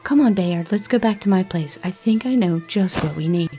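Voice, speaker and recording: A woman playing an easygoing, affectionate adventurer in an amateur audio drama, speaking casually and with gentle playfulness. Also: on the low side, animated, moderate pace, faint music behind, lightly acted. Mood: frank, caring.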